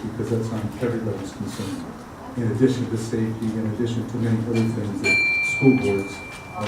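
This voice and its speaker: A middle-aged man, a school board candidate, giving a halting forum statement with many fillers. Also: mid-range voice. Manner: hesitant, many fillers and restarts. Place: outdoor park event. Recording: echoey, far from mic, noisy.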